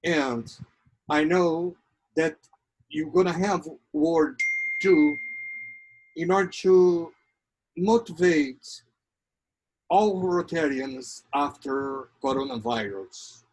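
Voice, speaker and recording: A man from Ceará, Brazil, with a Brazilian accent, hosting an online talk show and giving a formal welcome. He talks haltingly, searching for words, with many pauses. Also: on the high side, darkish tone, low-quality recording. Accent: Brazilian Portuguese, from Ceará. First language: Portuguese. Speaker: host.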